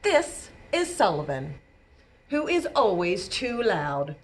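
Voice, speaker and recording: A woman reading a storybook aloud to young children, deliberate and expressive, leaning on key words. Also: mid-range voice. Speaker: children's librarian, storytime reader.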